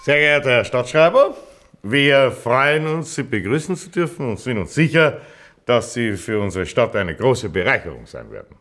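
An actor playing a jovial, self-important middle-aged small-town mayor in a radio drama, with a pompous, chummy manner. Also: male voice, mid-range voice, sing-song, moderate pace, fairly bright tone. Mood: pompous, ceremonious.

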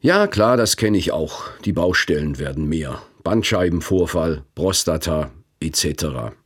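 A man's voice: low voice, very animated, measured pace, breathy edge. A man in his fifties hosting a radio feature, narrating in a reflective, wry first-person way. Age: 50s.

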